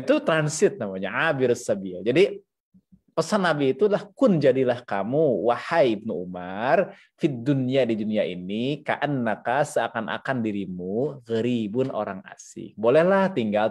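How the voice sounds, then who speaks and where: A man's voice: medium pitch, big pitch swings, moderate pace, darkish tone. A man with an Indonesian accent giving an Islamic lecture, reading Arabic phrases and explaining them in a didactic, conversational way with repeated phrases. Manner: didactic, repeats phrases, conversational asides with frequent checking tags. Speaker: Islamic teacher.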